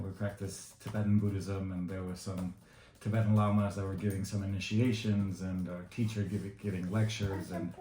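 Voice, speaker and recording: A Guatemalan-born man in his thirties speaks casually, hesitating and restarting often. His voice sits fairly low, and the room around him rings noticeably, with a faint hiss underneath. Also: mellow. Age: 30s. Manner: hesitant, many fillers and restarts. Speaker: interviewee. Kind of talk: casual interview answers. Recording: echoey room with faint noise.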